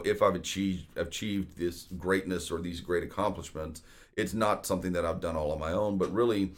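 A man, hosting a real estate talk show in a casual, conversational way with frequent fillers. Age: 40s. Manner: deep voice. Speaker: real estate podcast host.

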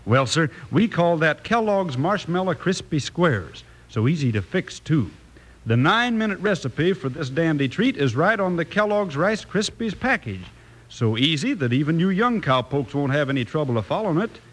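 High, lively cowboy radio pitchman. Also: moderate pace. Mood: chummy, upbeat. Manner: folksy, enthusiastic, drawn to young listeners. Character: folksy, chummy old-pal cowboy pitchman. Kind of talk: 1950s radio commercial.

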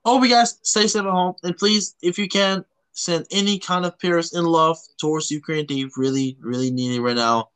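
A man from Canada hosting a casual podcast, talking in a halting way and often rephrasing himself. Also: a little high, fairly bright tone, clear, resonant tone. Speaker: podcast host.

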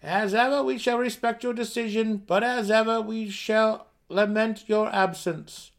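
Fantasy audiobook bit part: high, loud, respectful deep gnome, calling out formally; man performing. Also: steady tone, measured pace. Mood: ceremonious, regretful.

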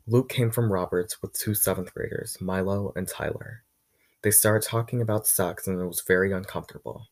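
A teenage boy with a deep voice, hosting his own vlog in a chatty, blunt rant that swears freely. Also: fairly even intonation, moderate pace. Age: teens. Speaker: vlog host. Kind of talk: casual ranting monologue.

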